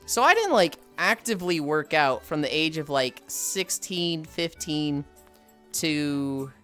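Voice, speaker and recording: Tired, sardonic woman streamer, lively pitch. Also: slow pace, faint music behind. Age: 30s. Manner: sighing, sardonic, swears freely. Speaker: livestreamer. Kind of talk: casual stream chat.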